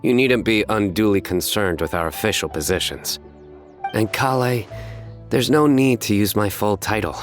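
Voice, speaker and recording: Man voicing a young general, deep voice, stern, formal, deadpan, video game character, faint music. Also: normal speed, close to an everyday voice. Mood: formal, composed.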